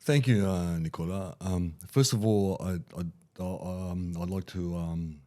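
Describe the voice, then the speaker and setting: A man with a head cold, a little nasal, rambling excitably in casual sports chat as a podcast co-host. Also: low-pitched, measured pace.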